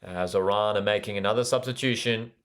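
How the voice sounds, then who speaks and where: An Australian man with a fairly low voice hosts a live football watch party. He calls the play with enthusiasm and speaks directly to viewers, often repeating a phrase for emphasis. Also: brisk, a bit bright, clean tone. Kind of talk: live sports commentary with chat interaction.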